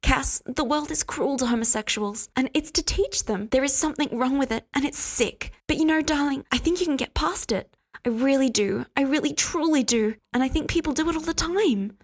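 A sugary, self-righteous woman who sounds far higher and brighter than a plain speaking voice, all condescension and pity, as a woman reads her lines aloud. She pours out syrupy sweetness while she meddles, in a storybook reading. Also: moderate pace, lightly acted. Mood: soothing, pitying. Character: syrupy, pious, controlling woman, a meddling friend.

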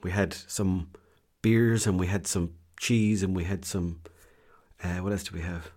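A man's voice: deep voice, fairly even intonation, a little slow, darkish tone. An Irish man telling a rambling, self-mocking holiday story in casual vlog style, with slang and the odd swear. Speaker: vlogger.

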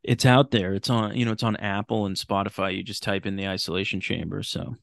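A man chatting casually as a podcast guest, halting and repeating words as he goes. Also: lowish voice, steady tone, creaky, no room sound, studio quality. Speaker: podcast guest, writer of radio drama. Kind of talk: casual chat.

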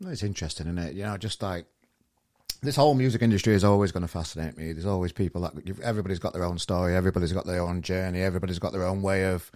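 A man's voice: low voice, steady tone, very fast, darkish tone, dry recording, studio quality. A man hosting a podcast interview, casual and prone to stumbling restarts and repeated words. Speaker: podcast host.

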